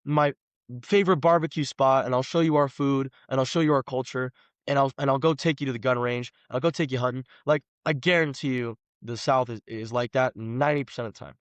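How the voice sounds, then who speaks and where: Young american-born guy on a podcast, talks fast, says like a lot, dry close sound. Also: mid-range voice, fairly even intonation, no echo. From United States, Los Angeles. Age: 20s. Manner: rambling, emphatic, many "like" fillers. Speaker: podcast guest. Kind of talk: casual conversation.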